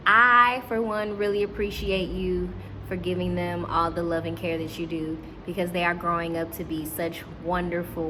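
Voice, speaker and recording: A woman teacher hosting a children's storytime, speaking to young listeners and caregivers in an animated, encouraging way. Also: lowish voice, noisy background.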